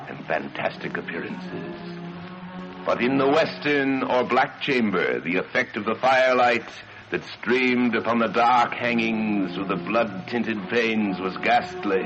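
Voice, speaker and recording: A man narrating a gothic horror story in a solemn, theatrical old-time radio style, with deliberate, dramatic phrasing. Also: on the low side, muffled recording. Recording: band-limited old radio sound with music. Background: music.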